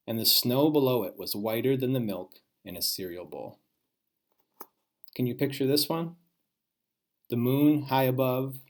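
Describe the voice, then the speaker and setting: A man, a teacher, reading a children's storybook aloud in an expressive way with dramatic pauses. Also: medium pitch.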